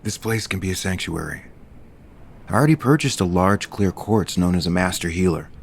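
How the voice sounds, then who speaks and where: Low-voiced tender, spiritual man. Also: moderate pace, vocal fry, husky, full character voice. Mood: tender, earnest. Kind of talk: audio soap opera acting.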